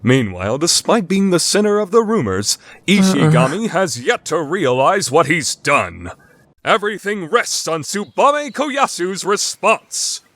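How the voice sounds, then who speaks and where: Man as dramatic anime narrator, swooping pitch. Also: big pitch swings, unhurried, a bit bright, no room sound, exaggerated voice. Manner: dramatic, declarative delivery. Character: dramatic, all-knowing male anime narrator. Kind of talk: scripted radio play narration.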